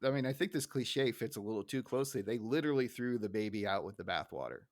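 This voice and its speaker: A man's voice: on the low side, expressive, fast, no room sound, studio quality. A man in his forties or older, hosting a podcast and casually recapping an episode. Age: 40s or older. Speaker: podcast host.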